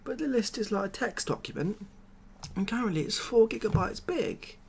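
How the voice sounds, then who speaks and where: A British man speaks in a gravelly, creaky voice, with faint noise behind him. He rambles hesitantly and deadpan, soft-spoken in ASMR comedy style, as a glum former builder who is out of his depth. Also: sits high, normal speed. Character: glum, overwhelmed ex-builder made president of the world. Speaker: ASMR roleplay performer.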